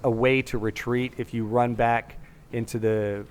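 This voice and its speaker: A man's voice: mid-range voice, flat delivery, unhurried, a crowd in the background. A man narrating a video game walkthrough in a casual, explanatory way, carefully cautioning as he goes.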